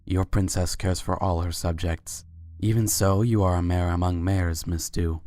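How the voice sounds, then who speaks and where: Suave royal stallion for fantasy audio story , acted by a man: low, measured, formal, flattering. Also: close to an everyday voice. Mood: earnest, flattering. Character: suave, courtly winged unicorn Sultan, adult male pony. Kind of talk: fan fiction dramatic reading.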